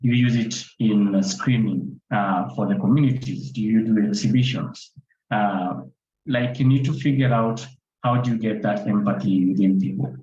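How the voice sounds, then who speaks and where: Kenyan man, lowish voice, panel explainer, rhetorical questions, echoey room sound. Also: normal speed, mellow. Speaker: conservation photographer and filmmaker, panelist. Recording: dull, roomy online-call audio.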